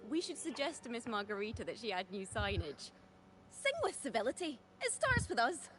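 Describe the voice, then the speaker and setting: A woman's voice: fairly high pitch, big pitch swings, a little fast, fairly bright tone, a little hiss or hum. A woman voicing a breezy, playful young female bard in a video game, with a theatrical, teasing storyteller's manner. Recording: game music behind the voice.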